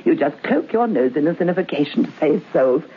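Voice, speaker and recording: An actress playing a garrulous, sharp-tongued housekeeper in an old radio drama. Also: female voice, low voice, lively intonation, fairly quick. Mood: cheeky scolding. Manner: garrulous, cheeky, familiar. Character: chatty, sharp-tongued working woman, housekeeper to a lodger. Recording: tinny old radio recording, faint noise.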